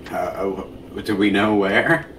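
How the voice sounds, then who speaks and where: A man's voice: on the low side, slow pace, fairly bright tone. A man co-hosting a video game playthrough with casual, jokey, mock-serious banter. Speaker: gaming video co-host playing the game. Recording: distant echoey room, faint noise.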